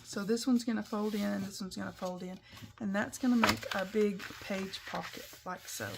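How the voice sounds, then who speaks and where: A woman hosting a papercraft tutorial, talking through each step in a chatty, instructive way while a little croaky. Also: mid-range voice, steady tone, normal speed, heavy background noise. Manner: chatty, instructive, talks while working with hands. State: croaky, clearing her throat. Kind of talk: casual step-by-step craft demonstration.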